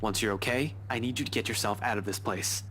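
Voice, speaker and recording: A man's voice: fairly low pitch, fast talker, noisy background, close to an everyday voice. A man playing a determined police detective in a visual novel game, speaking firmly and bluntly with protective urgency.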